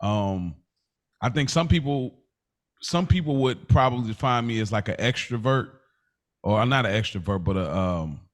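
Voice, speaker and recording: A man co-hosting a podcast in casual chat, telling stories in an animated, slangy way peppered with "bro" and "like". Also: on the low side, moderate pace. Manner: slangy, animated storyteller, often says "bro" and "like". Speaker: podcast co-host.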